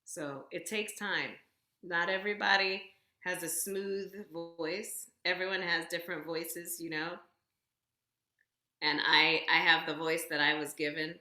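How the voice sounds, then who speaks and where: A woman singer speaking as a guest, explaining things in a measured, conversational way. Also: on the low side, steady tone, bright, forceful tone, roomy sound, soft background music. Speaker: guest vocalist. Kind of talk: conversational discussion of singing technique.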